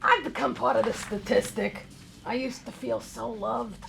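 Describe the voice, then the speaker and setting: Woman reading as gravelly, mopey divorced bartender guy. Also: fairly high pitch, steady tone, normal speed. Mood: forlorn. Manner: mopey, awkward, hesitant. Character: lonely, self-pitying divorced New York bartender man in his fifties. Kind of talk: comedy script table read. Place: theater stage, live show. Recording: live stage reading, faint noise.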